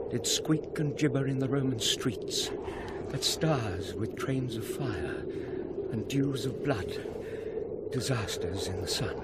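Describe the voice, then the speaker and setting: Declamatory British Shakespearean scholarly gentleman. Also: medium pitch, heavy background noise. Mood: grave, ominous. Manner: declamatory, measured verse delivery. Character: grave scholarly gentleman confronting a ghost on night watch. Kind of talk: classical Shakespearean radio drama acting.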